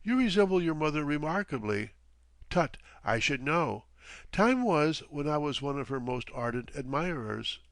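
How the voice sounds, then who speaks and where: A courtly, theatrical elderly gentleman, played by a male narrator, speaks in florid, playfully pompous phrases. His pitch is raised and leaps about freely, and the sound is dry and close, as in an audiobook. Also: very animated, moderate pace, no room sound. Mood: fond, musing. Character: genial, theatrical, mannered elderly English gentleman.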